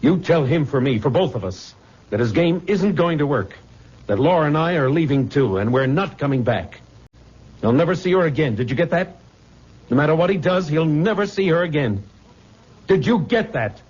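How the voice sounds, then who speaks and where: An actor playing a protective young fiancé in an old-time radio drama, urgent and insistent. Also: male voice, a little high, lively intonation, normal speed, darkish tone, husky. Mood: angry demanding. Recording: muffled old radio recording.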